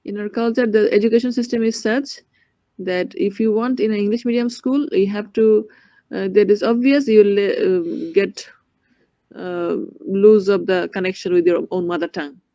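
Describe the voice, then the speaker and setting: Bangladeshi woman teacher, halting lecture. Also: medium pitch, steady tone, dark tone, no echo. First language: Bengali. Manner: halting, with frequent restarts and spelled-out terms. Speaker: university teacher. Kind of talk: classroom lecture. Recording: dull, dry lo-fi recording.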